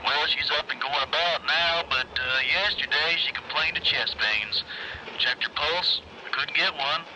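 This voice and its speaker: Male actor as folksy neighbor, slightly high voice, CB radio effect, casual, noisy background. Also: measured pace. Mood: casual, matter-of-fact. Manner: casual, folksy, matter-of-fact. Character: easygoing rural neighbor man talking over CB radio. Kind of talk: old-time style radio comedy drama acting. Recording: noisy CB radio over vehicle sound.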